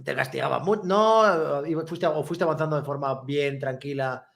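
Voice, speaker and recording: Chatty higher-voiced male interviewer. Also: normal speed, gravelly. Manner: chatty, eager, repeats questions, lively asides. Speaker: interview host. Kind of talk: casual interview conversation.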